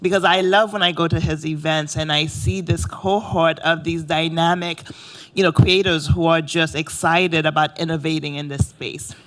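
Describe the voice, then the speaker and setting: A man's voice: fairly high pitch, level tone, moderate pace, fairly bright tone, rough recording. A man moderating a panel, introducing the next speaker in an earnest, rambling way full of "you know"s.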